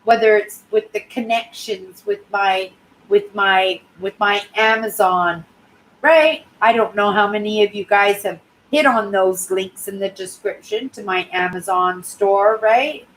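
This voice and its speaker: A woman in her sixties from Canada, chatting casually on her live stream in a clear, high-pitched voice and often ending sentences with "right?". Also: a little slow, fairly bright tone, slight background noise, rough recording. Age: 60s. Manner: rambling, tags sentences with "right?". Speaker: YouTube channel host.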